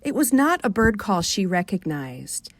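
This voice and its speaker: A woman with a Rochester, New York accent reading a short story for radio in an expressive, wry manner. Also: mid-range voice, a little slow, no room sound. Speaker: author reading aloud.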